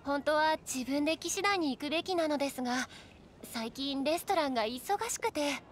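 An actress playing a polite, earnest young waitress with courteous, formal speech in a Japanese game. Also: female voice, high-pitched, animated, normal speed, noisy background. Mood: worried.

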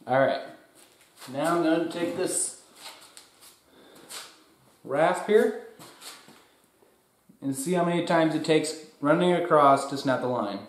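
Young man, DIY vlogger, higher-than-average voice, garage echo, far camera. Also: animated, slow, pauses often, slight background noise. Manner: plain, thinking aloud while working. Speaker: DIY tester. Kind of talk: casual workshop vlog. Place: garage workshop. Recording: echoey garage room.

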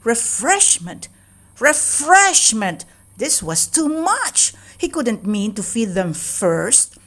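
A woman's voice: a little high, sing-song, slow, a bit bright, full character voice. A woman voicing a hungry, indignant male cat in a children's storybook, exclaiming dramatically and drawing out words.

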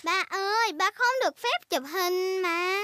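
A woman's voice: very high-pitched, a little slow, bright, forceful tone, dry recording, exaggerated voice. A woman voicing an eager, bossy, playful little girl piglet in a dubbed children's cartoon. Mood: earnest, performing.

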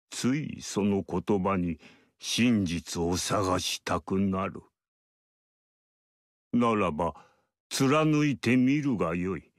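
A calm, aloof ageless sage. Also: male voice, sits low, no echo, studio quality. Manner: measured, archaic and lofty speech. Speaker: game character. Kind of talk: game dialogue acting.

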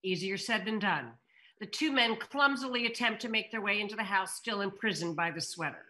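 A woman's voice: mid-range voice, fairly quick, pushed and bright, rough recording. A middle-aged woman hosting a table read over video call, reading stage directions and addressing viewers. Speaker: table read narrator and host.

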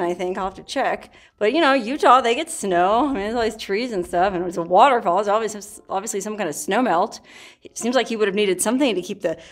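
A woman, chatting playfully to a handheld camera as she explores a cave cabin outdoors, laughing and spinning silly stories. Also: medium pitch. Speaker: solo outdoor adventure vlogger. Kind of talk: casual vlog narration while exploring. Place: outdoors, inside a rock cave and cabin in a canyon. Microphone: handheld camera at arm's length.

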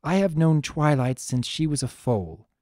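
A narrator voicing a wise, weary female pony princess, the elder sister, speaking in a measured, solemn and gentle way for a story reading. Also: mid-range voice, expressive, no room sound, clean studio sound, voice pushed up, lightly acted. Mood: troubled, regretful.

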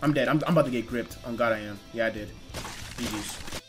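A young man giving casual gameplay commentary with friends, excitable and foul-mouthed, slangy and often repeating himself. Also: mid-range voice, brisk, over music. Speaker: gaming YouTuber.

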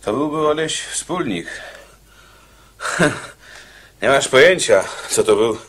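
An actor in a radio play voicing an irritable, bossy condemned convict, grumbling and repeating himself in exasperation. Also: male voice, medium pitch, animated, a bit bright, slightly rough, heavily acted. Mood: wistful. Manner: exasperated, grumbling, halting repetitions. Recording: noisy radio drama recording with clicks.

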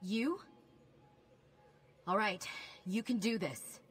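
Husky, brisk human ally. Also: female voice, medium pitch, exaggerated voice. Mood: urgent. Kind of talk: video game dialogue acting.

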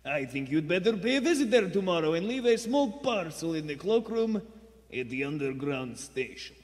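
A man playing a cold, scornful spy boss with deliberate delivery in a radio play. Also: fairly high pitch, very animated. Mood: scornful, menacing. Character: Vladimir, cold, menacing male spy boss. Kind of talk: vintage radio play acting. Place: theater stage before a live audience. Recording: echoey theater stage.

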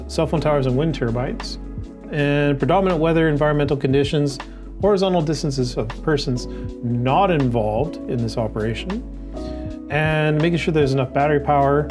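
A man's voice: mid-range voice, darkish tone. Man explaining over background music. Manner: conversational, asks and answers own questions. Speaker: drone training instructor. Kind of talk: explanatory tutorial, walking through regulations on screen. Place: indoors in an office by a window. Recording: music playing behind the voice.

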